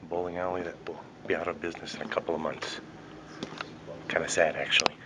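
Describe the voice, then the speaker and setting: A man's voice: extremely low pitch, steady tone, fast, noisy background. A man in his forties vlogging casually at a film set in a bowling alley, talking upbeat and chatty into a handheld camera. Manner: chatty, upbeat, fond of "pretty cool". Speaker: vlogger filming behind the scenes. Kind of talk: casual handheld vlog narration. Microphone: camera held at arm's length.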